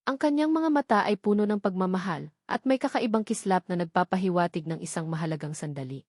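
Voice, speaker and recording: A woman's voice: lowish voice, quick pace, a little mellow, no echo, clean studio sound. A woman with a Filipino accent reading a scripted romance story as its narrator.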